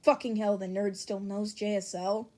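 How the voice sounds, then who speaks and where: A woman's voice: medium pitch, little pitch movement, moderate pace, darkish tone, natural voice. A woman voicing a foul-mouthed, irritable teenage boy hero in an audiobook, aggressive and curt. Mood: gruff, annoyed.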